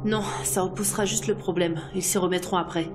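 A woman's voice: lowish voice, fairly even intonation, brisk, music in the background, lightly acted. A woman playing an authoritative rebel commander in an audio drama, formal and firm.

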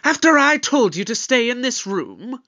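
A man plays a time-travelling detective in a sci-fi audio drama. He is pompous and suspicious, questioning deliberately in a notably high male voice. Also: sing-song, sharp tone, heavily acted. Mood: suspicious, brisk.

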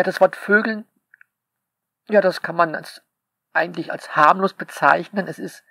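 A man's voice: high-pitched, gravelly, fairly bright tone, no room sound. A man, the author, speaking a sarcastic, polemical monologue to his listeners and peppering it with rhetorical questions. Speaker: author reading commentary on his novel.

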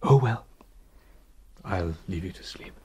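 A man playing a brusque, commanding English gentleman with a kind streak in a radio drama, with an English accent. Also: low-pitched, lively intonation, takes it slowly, husky, heavily acted. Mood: wry.

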